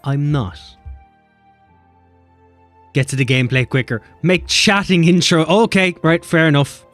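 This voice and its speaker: Guy streaming a game, bit high voiced, jumpy and sweary, faint music behind. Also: no echo. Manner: animated, swearing, quick banter with chat. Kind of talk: casual live-stream chat, reading chat.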